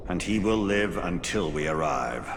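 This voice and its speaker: A low, gravelly wraith lord, grim and ancient. He speaks with cold, measured command in fantasy game style, over loud background noise. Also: animated, full character voice. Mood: cold, solemn. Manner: measured, solemn. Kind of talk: dramatic fantasy game cutscene acting. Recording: loud ambient noise behind.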